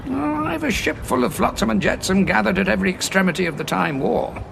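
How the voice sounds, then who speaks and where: A resolute, probing male time traveller in a gravelly, slightly raised voice. He sounds dry and weary, and noisy war ambience sits behind him throughout the audio drama. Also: high voice, a little fast.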